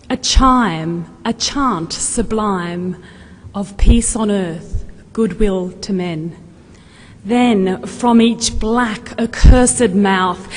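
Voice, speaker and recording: A woman reads verse at a very slow, stately pace in a large hall. Her delivery is solemn and expressive, each phrase deliberately placed, and long reverb trails after her words. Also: medium pitch. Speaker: reader at a carol service. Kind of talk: solemn poetry recital.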